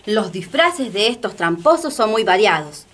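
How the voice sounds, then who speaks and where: A woman's voice: medium pitch, sing-song, normal speed, a bit bright. A woman playing an impassioned, well-informed denouncer in radio drama dialogue, emphatic and rhetorical. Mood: scornful.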